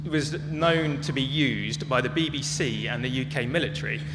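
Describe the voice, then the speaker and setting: A man's voice: mid-range voice, moderate pace. A man speaking as a conference presenter, explaining things in a prepared public talk. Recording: echoey hall with clear hum and noise.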